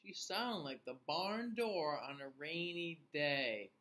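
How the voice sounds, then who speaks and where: A middle-aged man reading a picture book aloud to young children, expressively, with questions and pauses for them to answer. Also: on the high side, animated, bright, forceful tone. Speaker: children's class host and teacher reading aloud. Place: small indoor room.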